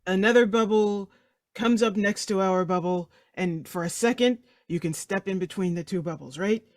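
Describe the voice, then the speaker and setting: A man takes part in a tabletop roleplaying game. He speaks in measured short phrases, often checking that listeners follow. The recording is dry, with no room sound. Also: high voice, no room sound. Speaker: player. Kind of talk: tabletop roleplay, explaining by analogy.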